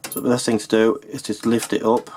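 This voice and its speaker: A man's voice: mid-range voice, flat delivery, fairly quick, a little mellow. A man narrating an informal how-to as a repair technician, speaking matter-of-factly while he works with his hands. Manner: matter-of-fact, talking while working with hands. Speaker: repair technician and video host. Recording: clearly noisy recording with clicks.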